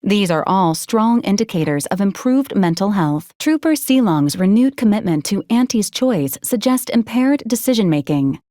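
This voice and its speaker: A woman voicing a polite, officious robot support unit in a video game, speaking in formal, clipped, deadpan announcements. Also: medium pitch, normal speed, hardly any pauses, no echo, studio quality, lightly acted. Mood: polite, detached. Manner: formal, clipped, programmed announcements in complete phrases.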